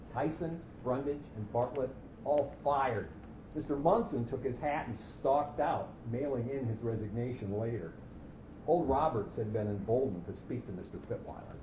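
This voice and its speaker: A man's voice: mid-range voice, lively intonation, moderate pace, close to an everyday voice. A man playing a fussy, precise office clerk who coolly plots murder, speaking formally in a staged story reading. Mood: aggrieved. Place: echoey hall at a live reading. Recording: muffled, noisy, echoey hall recording.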